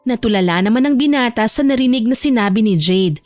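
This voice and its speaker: Female audiobook narrator for romance fiction, higher register, storytelling read over background music. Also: expressive, a little fast, a little mellow. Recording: music bed behind the voice.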